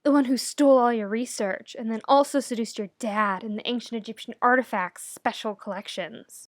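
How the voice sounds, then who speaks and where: A woman's voice: fairly high pitch, steady tone, moderate pace, dry recording, studio quality, close to an everyday voice. Woman as young man, stubborn, prickly, snarky, combative sarcastic delivery, comedic story character. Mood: snide.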